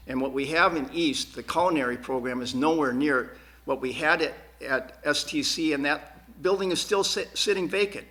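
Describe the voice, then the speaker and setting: Earnest man, halting and emphatic. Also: mid-range voice, fairly bright tone. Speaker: school board candidate. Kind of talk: answering questions at a candidate forum. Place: TV studio.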